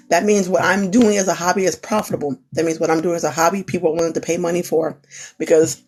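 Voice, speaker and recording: A young woman who is losing her voice gives an earnest, repetitive pep talk on a live stream. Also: fairly low pitch. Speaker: small business owner, crafter. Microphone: phone.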